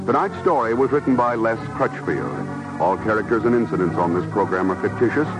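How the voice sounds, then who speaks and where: Vintage radio announcer, male, mid-pitched, scripted sign-off delivery over clear organ music. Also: poor audio.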